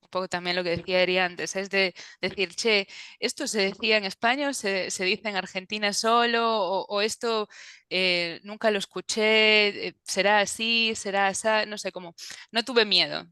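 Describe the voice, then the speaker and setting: Soft-spoken Galician woman, very clear voice. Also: medium pitch, normal speed, dry recording. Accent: Galician Spanish. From Galicia, Spain. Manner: thoughtful, searching for words, many fillers. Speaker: translator. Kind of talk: conversational interview answer.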